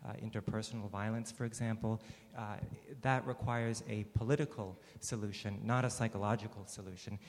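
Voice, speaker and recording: A male psychiatrist explains his view on a panel in a measured, academic way, hedging as he goes. His voice is fairly low. The sound carries a long hall reverb. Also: darkish tone. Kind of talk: panel discussion. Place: large hall with an audience.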